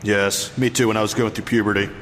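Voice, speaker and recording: A man's voice: fairly low pitch, fairly even intonation, fast talker, a bit bright, pitched below the performer's own voice, lightly acted. Man as a chatty, haughty sun god, echoing room. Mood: sheepish, chatty. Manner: self-important, chatty, hesitant improvising. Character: Ra, haughty yet casual male Egyptian sun god. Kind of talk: improvised comedy skit. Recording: noisy, reverberant room recording.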